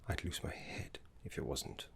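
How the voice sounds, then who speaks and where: A man voicing a gentle, fussy, scholarly older necromancy teacher in a soft ASMR roleplay, breathy and half-whispered, with polite, hesitant phrasing. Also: close to an everyday voice. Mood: absent-minded. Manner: polite, hesitant, slow and deliberate.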